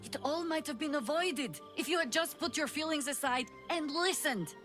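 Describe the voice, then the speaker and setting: A woman's voice: high voice, moderate pace, fairly bright tone. An actress playing a stern, weary, middle-aged adventurer in a fantasy video game, dry and scolding in her delivery. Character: stern, weary, exasperated veteran female adventurer. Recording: music behind the voice.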